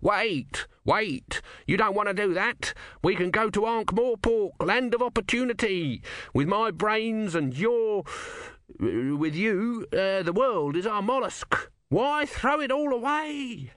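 A man's voice: high voice, expressive, takes it slowly, a bit bright, no room sound, clean studio sound, voice pushed up, full character voice. A man voicing a frantic, wheedling male god trapped as a small tortoise. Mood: pleading.

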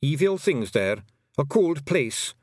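Brogue-heavy tiny fairy chieftain, put on by a male narrator: gruff, warlike, slow and foreboding, pitched up above his own voice. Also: big pitch swings, no echo, clean studio sound, full character voice. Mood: ominous. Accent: Scottish. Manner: thick brogue, slow and ominous.

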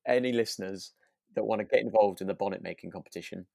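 A man's voice: fairly low pitch, fast, creaky, warm tone, no echo, clean studio sound. A man co-hosting a casual review podcast, agreeable and a little hesitant, often chuckling as he talks. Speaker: co-host of a radio drama review podcast. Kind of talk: casual chat.

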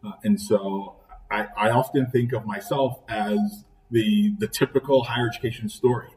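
A middle-aged man from Trinidad and Tobago speaks with a mellow, warm tone, measured and earnest. He builds his answers by repeating key phrases. His voice sits a little back from the mic. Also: lowish voice, fairly even intonation. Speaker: college president, podcast guest. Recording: voice picked up from a distance.

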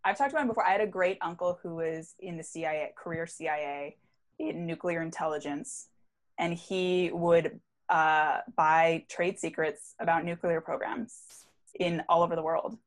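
A woman's voice: on the low side, steady tone, moderate pace. A woman co-hosting a podcast, chatting casually with lots of "like" fillers. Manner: chatty, filler-heavy with frequent "like". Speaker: podcast co-host. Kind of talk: casual chat.

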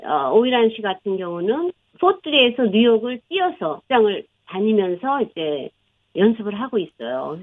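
A woman's voice: a little high, no echo. A woman in her sixties, a marathon runner, talking as a guest in a radio interview, explaining earnestly with frequent fillers. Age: 60s. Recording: tinny phone line.

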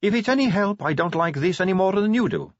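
A man's voice: sits high, lively intonation, fast, no echo, dull audio, voice pushed up. A man voicing a young, boyish female vampire who is polite, earnest and forthright, in an audiobook story. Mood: reassuring.

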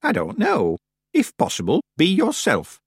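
A man voicing a very old, sharp-tongued village witch woman who bosses people about in an imperious, fussy way. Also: high voice, huge pitch swings, measured pace, breathy edge, no echo, studio quality, voice pushed up, exaggerated voice. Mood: dry.

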